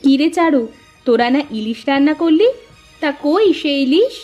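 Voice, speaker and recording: High-voiced nagging village mother, acted by a woman. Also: expressive, normal speed, darkish tone, lo-fi sound. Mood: scolding. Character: scolding middle-aged village mother. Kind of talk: cartoon story dialogue. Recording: music under the voice.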